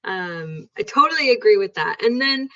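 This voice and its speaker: Woman, teacher, relaxed and explanatory, medium pitch, dull lo-fi recording. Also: normal speed. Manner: chatty, explains with examples. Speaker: English teacher. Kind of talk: casual educational chat. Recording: dull lo-fi sound.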